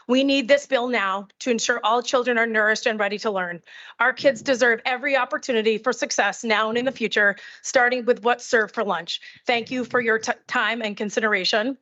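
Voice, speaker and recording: High-voiced middle-aged woman giving testimony. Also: brisk, pushed and bright. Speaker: teacher testifying. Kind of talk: prepared public testimony. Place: committee hearing. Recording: dull, muffled audio.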